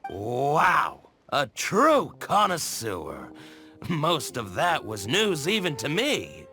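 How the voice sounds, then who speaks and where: An actor playing a snobbish shopkeeper in an anime game dub, pompous and theatrical, with a haughty drawl. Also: male voice, on the high side, wildly swooping pitch, extremely slow, bright, forceful tone, soft background music, full character voice. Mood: impressed, fawning. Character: snobbish, haughty shopkeeper who fawns on connoisseurs.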